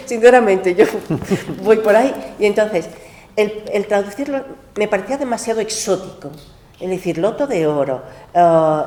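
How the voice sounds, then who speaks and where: A woman's voice: medium pitch, mellow, reverberant, a little hiss or hum. A woman translator talking candidly and with life at a book club, laughing often. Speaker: literary translator.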